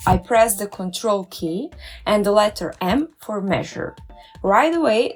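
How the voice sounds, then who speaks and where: A woman's voice: mid-range voice, darkish tone, husky. Upbeat woman explaining a tutorial. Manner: upbeat, careful step-by-step explaining. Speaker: dentist and doctoral researcher. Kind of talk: scripted tutorial narration.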